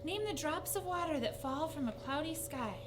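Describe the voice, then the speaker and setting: A woman acts out an honorable old mayor from a storybook. He proclaims in a high, ceremonious voice with formal care. The recording has clear noise and a hum. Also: normal speed. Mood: proclaiming. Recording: noisy recording with steady hum.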